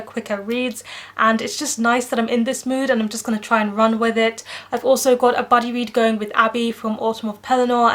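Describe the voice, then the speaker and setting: A young woman chats brightly to her camera in a relaxed, conversational vlog. Her voice sits a little on the high side, and she talks with an upbeat, slightly self-mocking ease. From Netherlands. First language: English. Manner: chatty, upbeat, lightly self-deprecating. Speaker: book vlogger. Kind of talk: casual vlog talk to camera.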